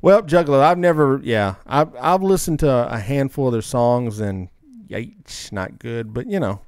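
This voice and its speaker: A man's voice: mid-range voice, expressive, moderate pace, darkish tone, clear, resonant tone, no echo. Male podcast co-host, casual and opinionated, rambling delivery, dry close sound.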